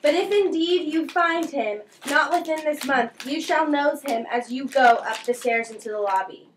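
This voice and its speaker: A woman's voice: high-pitched, moderate pace, natural voice. A woman playing a mocking, riddling young prince in an amateur Shakespeare puppet show, declaiming old verse with a taunting edge. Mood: darkly taunting. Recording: echoey, distant, faintly noisy room recording.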